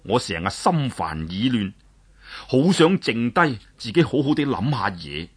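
A man voicing a weary, tough warrior who speaks low and plainly with a sigh in his voice. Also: very animated, measured pace, breathy edge. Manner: low, sighing, plain-spoken. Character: weary tough warrior man, gruff but soft-hearted.

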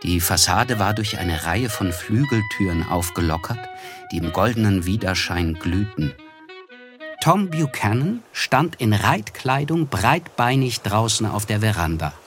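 Polite young narrator, low register, measured and observant, literary radio play, music beneath. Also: male voice, very animated. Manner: measured, descriptive storytelling. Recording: music under the voice.

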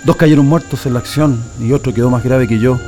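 A man's voice: medium pitch, fairly even intonation, brisk, mellow, faint music behind, lightly acted. A Chilean man playing a former printing worker and resistance militant telling his story in a first-person radio drama. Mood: reflective. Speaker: narrator giving testimony in a radio drama.

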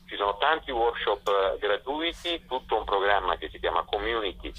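A deep-voiced man with a husky edge gives an unscripted presentation of an arts programme. He speaks haltingly, drawing out pauses as he searches for words. The sound is muffled and narrow, as over radio or telephone. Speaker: festival director.

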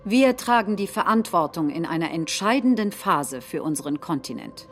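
A woman acting in documentary theatre, delivering nationalist political speeches in a deliberate, declamatory manner. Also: fairly low pitch, lively intonation, faint music behind, close to an everyday voice. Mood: solemn, resolute. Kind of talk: staged reading of political speeches.

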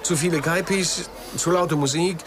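A man's voice: on the high side, steady tone, normal speed, crowd noise, close to an everyday voice. A man playing a troubled, defensive man of about forty in a radio crime drama, speaking in plaintive, clipped phrases. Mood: rueful, distressed. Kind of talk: naturalistic radio drama dialogue.

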